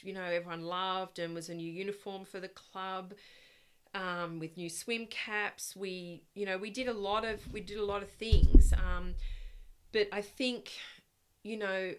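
A middle-aged Australian woman chatting casually as an interview guest, reminiscing in a loud, projecting, storytelling way. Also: medium pitch, fairly even intonation, measured pace, clear, resonant tone. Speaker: interview guest, swim club parent volunteer.

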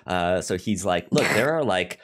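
Male podcast host, low voice, noticeable stammer with repeated syllables, informal review chat. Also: lively intonation, moderate pace, a bit bright.

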